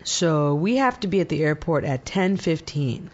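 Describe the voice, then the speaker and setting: A woman's voice: low voice, lively intonation, normal speed, highs cut off. A woman playing a helpful traveller's companion in a scripted lesson dialogue. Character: helpful woman planning an airport trip.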